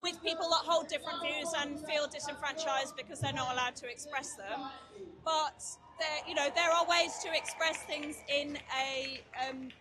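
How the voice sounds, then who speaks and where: A woman's voice: high voice, steady tone, moderate pace. A woman answering questions as a protester in a street interview, speaking in a hesitant, earnest way. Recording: crowd noise behind, outdoor interview.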